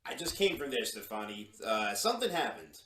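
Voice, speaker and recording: A man's voice: fairly low pitch, big pitch swings, a little fast, bright, forceful tone, recorded from a distance. An actor playing a nervous, crooked male secretary in an old-time radio drama, stammering and colloquial, in a roomy space. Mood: nervous, urgent.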